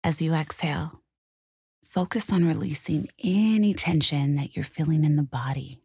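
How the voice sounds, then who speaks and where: A woman leading a guided meditation in a calm, gentle, soothing and measured way. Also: low-pitched, vocal fry, breathy edge, no echo, clean studio sound.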